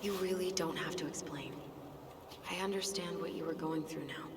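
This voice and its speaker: Game role: woman as quietly sardonic young sibling, low voice, noisy distant capture. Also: moderate pace, roomy sound, in a large hall, natural voice. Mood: gently teasing. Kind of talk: naturalistic video game acting.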